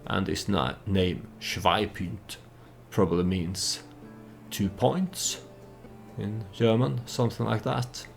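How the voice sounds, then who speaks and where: Non-native english guy doing chill let's play storytelling, super low voice, kinda meandering, faint music behind. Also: fairly even intonation, takes it slowly, gravelly. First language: not English. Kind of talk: casual gameplay commentary with storytelling.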